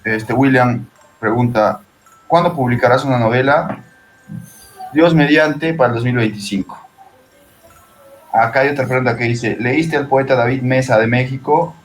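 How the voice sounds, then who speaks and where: A man's voice: medium pitch, normal speed, pauses often, soft background music, rough recording. A man with a Peruvian accent talking casually and reflectively as an interview guest, often checking for agreement. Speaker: interview guest, poet and translator.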